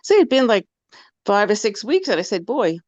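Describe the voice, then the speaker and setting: A woman's voice: medium pitch, sing-song, fairly quick, a little mellow, no echo, lo-fi sound. An older woman phoning in to a webinar, telling her story in a rambling way with many restarts. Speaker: caller sharing a testimonial. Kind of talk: casual testimony over a call.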